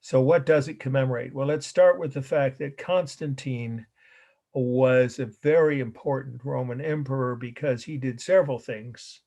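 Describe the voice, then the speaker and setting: Casting: middle-aged male art history lecturer, slightly hoarse, halting and rambling, mid-pitched. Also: steady tone, dark tone. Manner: rambling, halting with fillers and self-corrections. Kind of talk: recorded class lecture.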